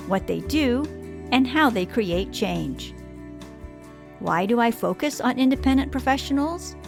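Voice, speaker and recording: A woman hosting an interview podcast, speaking in a measured, clearly phrased way. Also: medium pitch. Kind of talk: scripted podcast introduction and interview.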